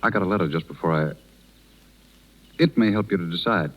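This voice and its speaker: Gentle, reserved gentleman, very low voice, halting delivery, muffled vintage radio drama. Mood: careful. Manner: hesitant, halting. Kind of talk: 1930s radio drama acting. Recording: muffled 1930s radio broadcast recording.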